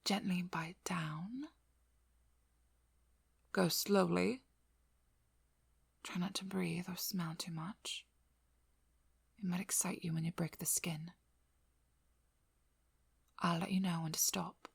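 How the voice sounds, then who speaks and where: Female vampire hunter, played by a woman, ASMR audio drama: firm, protective, dryly wry. Lowish husky voice, measured delivery falling often to a whisper. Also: dry recording, exaggerated voice. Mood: hushed, guiding. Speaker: ASMR role-play performer. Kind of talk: intimate ASMR role-play acting. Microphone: close microphone.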